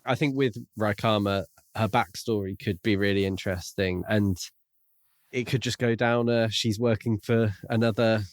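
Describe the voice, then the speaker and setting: Guy on a book podcast, kinda low voice, thoughtful and tentative, casual chat, really dry audio. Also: steady tone, normal speed, no echo. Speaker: podcast co-host.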